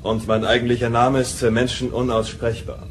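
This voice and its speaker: A man plays a reserved alien assistant in a radio play. The voice is even and medium-pitched, over faint music. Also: a little fast, close to an everyday voice. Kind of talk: German audio drama acting.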